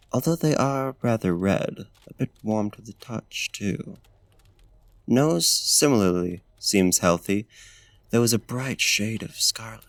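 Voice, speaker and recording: A man voicing a courtly, smitten vampire in a soft-spoken ASMR roleplay, with formal, old-fashioned, genteel diction and a hushed, lingering delivery. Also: lowish voice, gravelly, no echo, exaggerated voice. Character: courtly, smitten male vampire tending a frostbitten guest. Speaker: ASMR voice actor.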